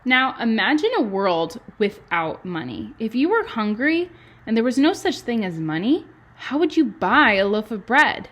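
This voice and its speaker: A woman, a kindergarten teacher reading to children and adding chatty, animated explanations and questions in simple words. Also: on the high side, measured pace, highs cut off. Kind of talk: children's read-aloud with chatty asides.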